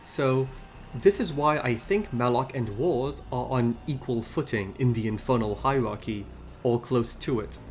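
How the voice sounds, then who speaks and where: A man hosting a scripted video essay about game lore, explaining in a measured way. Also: medium pitch. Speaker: video host.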